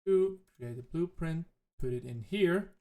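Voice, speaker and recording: A man whose native language is German, thinking aloud in halting, self-correcting commentary as he plays a game. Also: fairly high pitch, animated, warm tone. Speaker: let's play host. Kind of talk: casual gameplay commentary.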